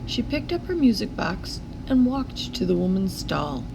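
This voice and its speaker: A woman reading a children's picture book aloud in a deliberate, expressive storytelling manner. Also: medium pitch, gravelly, background noise. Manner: deliberate storytelling with acted character voices.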